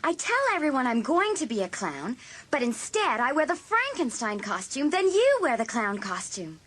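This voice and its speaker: A woman playing a vengeful teenage girl in a dramatised audiobook, husky and intense as she schemes in cold blood. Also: high voice, sing-song, normal speed, fairly bright tone, a little hiss or hum. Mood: eager, scheming. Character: vengeful teenage girl coldly plotting a murder.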